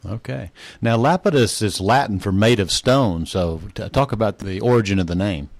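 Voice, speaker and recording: A middle-aged male host runs a trade interview with brisk, conversational questions. His voice sits on the low side. It is recorded close and dry, with no room sound. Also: gravelly, no room sound.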